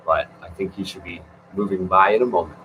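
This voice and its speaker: A man reading a classic text aloud on his front porch, earnest and gentle, with spiritual asides. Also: sits low, brisk, a little hiss or hum, rough recording.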